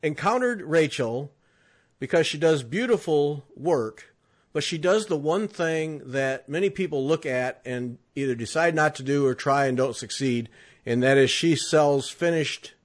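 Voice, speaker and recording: A man hosting a podcast, chatting casually with a jokey, teasing manner as he interviews a guest. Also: mid-range voice. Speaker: podcast host.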